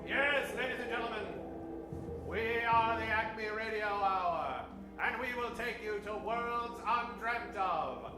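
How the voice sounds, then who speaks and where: A man's voice: high-pitched, takes it slowly, music in the background. A man hosting a live improv radio show in the grandiose, tongue-in-cheek style of an old-time radio announcer, addressing an audience. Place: echoey venue in front of a live audience. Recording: noisy, echoey live stage recording from far off.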